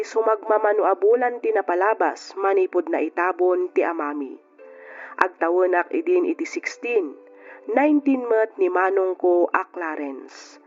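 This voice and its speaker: A woman's voice: mid-range voice, close to an everyday voice. A woman with an Ilocano accent playing a reflective mother who narrates her family's story in an old radio drama. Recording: dull lo-fi radio drama recording. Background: music.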